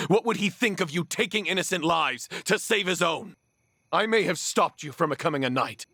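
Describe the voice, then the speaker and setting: An actor playing a stern, righteous man in a video game, speaking with earnest, measured reproach. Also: fairly high pitch, a bit bright, breathy edge, no echo, studio quality, heavily acted. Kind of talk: dramatic video game voice acting.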